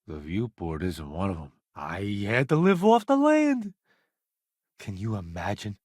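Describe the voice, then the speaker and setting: Vain, animated game sidekick. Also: male voice, fairly low pitch, a little slow, slightly rough, no echo, clean studio sound, heavily acted. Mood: wry, showy. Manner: theatrical, smug, wisecracking. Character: vain, chatty celebrity food columnist and space crew sidekick. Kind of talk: video game companion voice lines.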